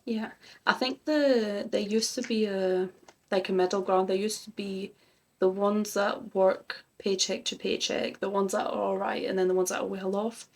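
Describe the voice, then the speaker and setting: A woman's voice: medium pitch, fairly even intonation, normal speed. A woman who grew up in Germany, co-hosting a music reaction chat and thinking aloud in an earnest, casual way. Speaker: co-host of a music reaction channel.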